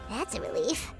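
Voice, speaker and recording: A woman voicing a tiny, chirpy, excitable childlike fairy companion in a video game, squeaky and full of exclamations. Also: exaggerated voice. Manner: squeaky, exclamatory, speaks of self in third person. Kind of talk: video game character acting.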